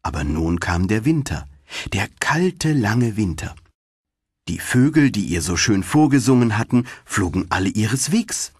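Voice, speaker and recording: A male narrator reads a fairy tale in an expressive storytelling style. His voice is slightly low and husky, with very animated intonation. He tells it as a scripted audiobook. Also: measured pace, no room sound, clean studio sound.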